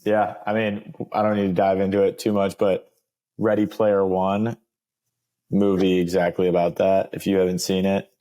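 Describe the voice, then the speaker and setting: Young guy cohost, lowish voice, easygoing and upbeat, says like a lot. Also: monotone, moderate pace, warm tone. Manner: loose and upbeat, leans on "like". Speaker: podcast co-host. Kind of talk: casual chat.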